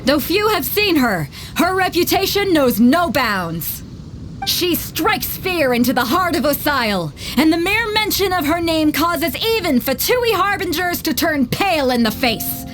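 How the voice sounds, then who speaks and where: A woman's voice: very high voice, very animated, a little slow, a bit bright, slight background noise, exaggerated voice. A young woman voicing a bold, brash female ship captain who talks in a commanding way, in video game voice acting. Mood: announcing. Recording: game music underneath.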